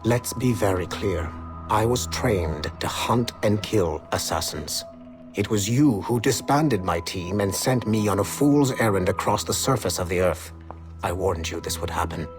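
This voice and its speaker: An actor playing a stern, businesslike field agent in a game audio log, speaking in a formal, clipped, matter-of-fact way. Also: male voice, on the low side, lively intonation, unhurried. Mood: resentful, firm. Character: stern, businesslike male Templar field agent hunting assassins. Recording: music under the voice.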